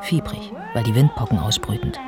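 A man narrating a writer's life in a scripted literary radio drama, measured and descriptive. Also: on the low side, music in the background, natural voice.

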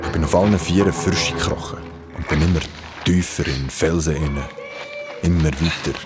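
A man's voice: a bass voice, steady tone, normal speed. An actor playing a shaken young man with Swiss German speech, confiding his mountain ordeal in slangy radio play narration. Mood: tense, shaken. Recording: loud music behind the voice. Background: music.

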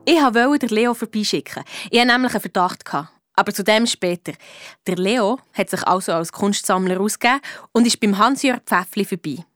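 A woman's voice: medium pitch, a little fast, a bit bright, no echo, studio quality, faint music behind, natural voice. Confident Swiss German woman detective , played by an actress. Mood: confiding. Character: clever, confident amateur woman detective, brisk and cheeky. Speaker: lead detective and narrator in a children's audio drama. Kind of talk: children's detective radio play, storytelling.

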